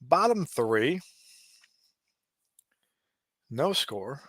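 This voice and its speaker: A man in his late forties doing casual play-by-play of a simulated baseball game, relaxed and chatty with frequent asides. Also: mid-range voice, takes it slowly, breathy edge, no room sound, clean studio sound. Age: 40s. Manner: relaxed, chatty, given to asides and small restarts.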